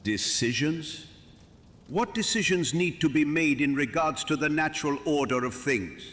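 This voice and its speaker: Man acting as disdainful male noble, fairly high voice, commanding, fantasy horror drama, long reverb. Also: expressive, normal speed, a bit bright.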